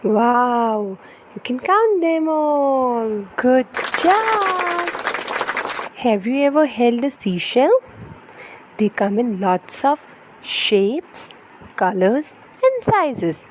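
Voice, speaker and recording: A woman's voice: high voice, big pitch swings, drawn-out words, some chatter behind, slight background noise, poor audio. A woman reading a picture book aloud to young children in a teacherly way, with questions and exclamations.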